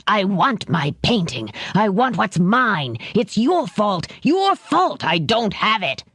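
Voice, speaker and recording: A refined, sadistic crime boss with a low, hushed voice that sinks well below the reader's own, as a woman narrator puts him on. He speaks with soft, clipped menace in a dry, close audiobook recording. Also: lively intonation, slow, slightly rough, no room sound, full character voice. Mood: raging.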